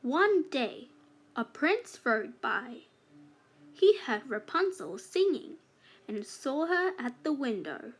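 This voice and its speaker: Slow, high-pitched woman reading a fairytale. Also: sing-song, husky. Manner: slow, deliberate phrasing for young learners. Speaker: children's storybook narrator. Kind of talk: scripted read-aloud fairytale narration.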